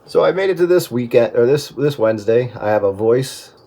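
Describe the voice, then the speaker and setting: A man's voice: mid-range voice, animated, a little fast, background noise. A middle-aged man chatting casually over amateur radio in a rambling way, often restarting his sentences.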